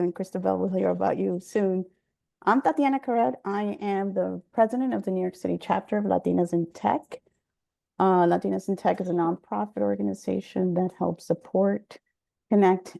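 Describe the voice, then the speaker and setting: A woman from Venezuela introducing herself as a panelist in a warm, enthusiastic way over a video call. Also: medium pitch, vocal fry. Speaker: panelist, nonprofit chapter president.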